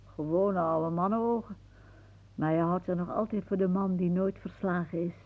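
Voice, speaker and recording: An actress playing a wry, imaginative old aunt in a Dutch radio play, musing theatrically with pauses. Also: female voice, lowish voice, fairly even intonation, lightly acted. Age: older. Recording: muffled phone-like old radio recording.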